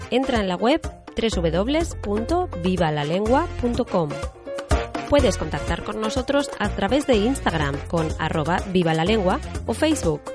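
A woman from Spain with a Madrid accent, hosting a podcast interview and speaking slowly and clearly for learners. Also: mid-range voice. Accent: Madrid Spanish. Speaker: podcast host and Spanish teacher.

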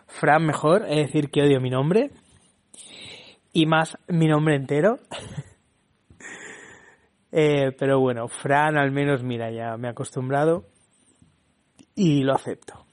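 A young man from Barcelona with a Barcelona Spanish accent, hosting a casual solo podcast, chatty and laughing often as he restarts his words. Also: a little high. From Spain. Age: young adult. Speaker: podcast host.

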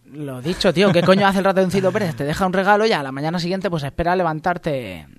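Spanish guy from spain reading silly news on the radio, bit high voice, jokey and sweary. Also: fast. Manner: informal, irreverent, sweary. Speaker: radio co-host. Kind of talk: comic news reading with banter.

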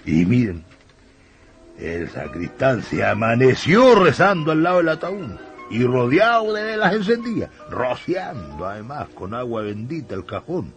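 An actor with a Chilean accent playing a folksy storyteller who narrates a radio drama colloquially. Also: male voice, mid-range voice, very animated, a little slow, faint music behind. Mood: folksy, ominous. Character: folksy male storyteller telling a devil legend.

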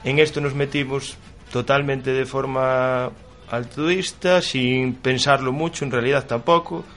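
Man, radio guest, earnest, measured. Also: mid-range voice, steady tone, fairly bright tone. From Galicia, Spain. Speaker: supporters' club officer.

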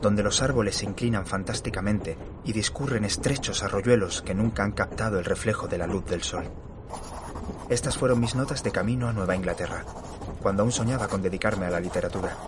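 A man acting as a curious, literary-minded government employee narrates an audio horror drama. His voice is lowish and his delivery formal and measured. Clear ambient noise sits behind him. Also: natural voice. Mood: measured, intrigued. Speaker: narrator and lead actor.

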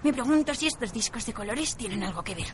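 Feisty old cleaning woman voiced by an actress, highish animated delivery, radio drama, slight background noise. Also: fast, natural voice. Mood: bewildered, curious.

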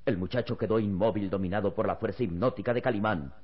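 A man's voice: lowish voice, fast talker. A man narrating an old radio serial in a grave, emphatic, scripted style. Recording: dull old radio recording with music.

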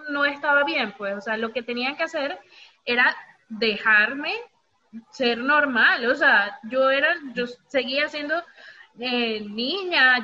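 A woman with a Venezuelan accent talking casually, telling things in an animated way with acted-out quotes, on a dull lo-fi recording. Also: high voice. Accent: Venezuelan Spanish. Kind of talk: casual conversational talk. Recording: dull lo-fi audio with faint noise.

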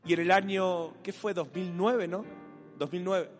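Rioplatense male pastor, animated and playful sermon delivery, high-pitched, church hall with long reverb. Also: unhurried, soft background music. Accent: Rioplatense Spanish. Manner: animated, acts out voices for a playful imagined dialogue.

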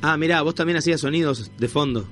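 Argentine dude hosting radio, fairly high voice, chatty and informal, faint music under him. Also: male voice, fast, a bit bright. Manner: informal, interjecting. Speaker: radio host. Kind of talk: casual radio interview chat.

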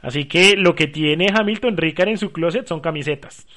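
Chatty man, high voice. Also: pushed and bright. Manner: chatty, laughs often, lists quickly. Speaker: sports talk host. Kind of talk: casual sports chat.

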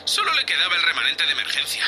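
A man's voice: on the high side, huge pitch swings, very fast, pushed and bright, a little hiss or hum, exaggerated voice, low-quality recording. A man playing a nervous, hapless young spaceship crewman in an audio drama, stammering and hesitant. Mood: curious, focused. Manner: stammering, hesitant, breathy sighs.

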